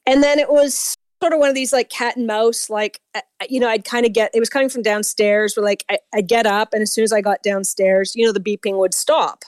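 A woman from Canada with a Canadian accent, calling in to tell a story on a radio show, talking fast and rambling with fillers and restarts. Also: on the high side, fairly even intonation, no echo. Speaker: caller. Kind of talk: phone-in storytelling.

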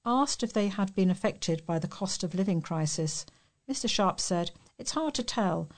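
A woman's voice: mid-range voice, expressive, normal speed. A woman reading local news articles aloud as a volunteer reader.

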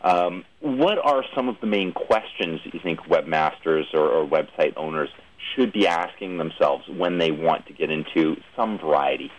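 A man's voice: low-pitched, normal speed, few pauses, lo-fi sound, slight background noise. A man hosting an interview show, putting long-winded, hesitant questions in a conversational way. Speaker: radio show host, interviewer.

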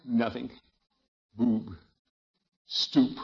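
A man's voice: mid-range voice, flat delivery, very slow, gravelly, slightly rough, full character voice. A man playing a pompous, grumbling middle-aged uncle in an American accent, with blustery, muttering delivery in 1950s radio comedy style. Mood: sheepish grumbling. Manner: blustery, muttering, hemming and hawing. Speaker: comic lead actor in radio sitcom. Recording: muffled vintage 1950s radio recording.

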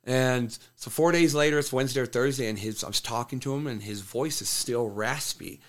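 A man's voice: medium pitch, brisk. A man who coaches fighters, telling a fight story bluntly in casual conversation, swearing freely. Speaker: MMA coach and fighter, podcast guest.

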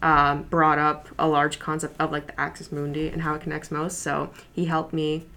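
A high school senior girl whose first language is Polish, chatting easily in an interview with many fillers and light laughs. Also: normal speed. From New York City, USA. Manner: relaxed, chatty, frequent fillers and soft laughs. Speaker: interview guest, student. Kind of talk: casual interview.

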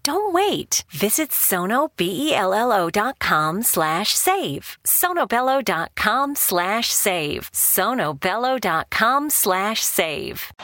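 A female ad announcer speaking slowly but with lively pitch, pressing listeners to act now. The voice is dry and close to the mic. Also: no room sound. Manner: urgent call-to-action. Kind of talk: radio commercial read.

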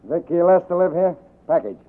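A man acting a brisk, offhand delivery man in a 1940s radio drama. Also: on the high side, lively intonation, slight background noise, close to an everyday voice. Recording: muffled, narrow 1940s radio recording.

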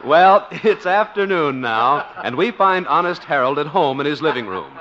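A man's voice: on the high side, lively intonation, moderate pace, very noisy, close to an everyday voice. A male announcer reading scripted narration for a 1950s radio comedy. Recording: muffled old radio broadcast.

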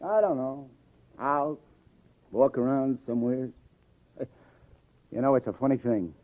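A hard-boiled New detective, played by a man in an old radio crime drama. He talks in a clipped, sardonic tough-guy way at a medium pitch. The sound is tinny and close, like a dry vintage broadcast. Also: a little slow, no echo, lightly acted. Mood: weary, wry. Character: hard-boiled, wry New York male police detective.

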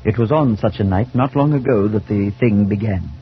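An actor playing an earnest, compassionate priest, speaking in a measured way in a 1940s radio drama. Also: male voice, lowish voice, over loud music, lightly acted. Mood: grave, reflective. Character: earnest, compassionate male priest narrating his tale. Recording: noisy, dull 1940s radio recording.

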